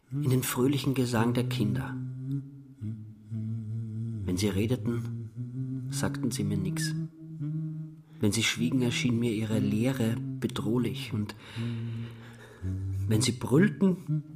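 A man's voice: medium pitch, darkish tone, breathy edge. An actor playing a timid, childlike man in his forties in a radio play, speaking hesitantly and plaintively. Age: 40s. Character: timid, childlike younger brother turned hapless robber. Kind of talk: radio play dialogue and monologue.

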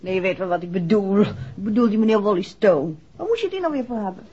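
An actress playing a detective's inquisitive, caring wife in a 1960s radio drama, coaxing and direct. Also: female voice, medium pitch, animated, fast, mellow, husky. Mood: curious, concerned. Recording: muffled old 1960s radio recording.

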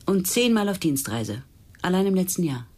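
A woman acting in a radio crime drama as a sardonic, no-nonsense policewoman, terse and dry with a husky voice, in a naturalistic style. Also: on the low side, sing-song, normal speed, a little mellow. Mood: probing, sardonic.

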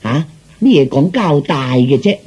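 A man's voice: a little high, lively intonation, a little slow, darkish tone, husky, highs cut off. A man playing a pompous, gullible self-styled emperor of fifty-nine in a comic audio story, with hesitant, mock-regal speech. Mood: pompous scolding.

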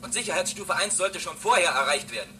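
A man playing a brisk, official earth station controller in a German radio drama, speaking urgently in clipped phrases. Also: high voice, animated. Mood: stern, pressing. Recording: radio link with clear background noise.

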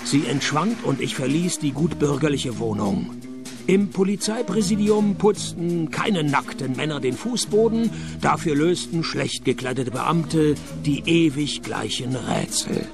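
A cynical private detective narrating his own radio play, deadpan and sardonic. The voice is a touch high with a gravelly edge. Music plays clearly behind him. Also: unhurried.

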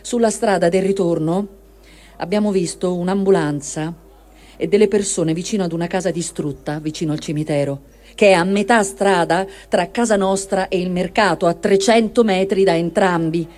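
A woman reading a first-person war diary aloud as a dramatic testimony, measured and expressive, with deliberate pauses. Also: on the low side. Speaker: reader of written testimony.

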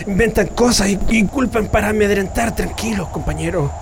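An actor playing a frightened, protective Chilean railway worker held prisoner, hushing and stammering in a radio drama. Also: male voice, high-pitched, a little fast, heavy background noise. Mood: anxious, hushing.

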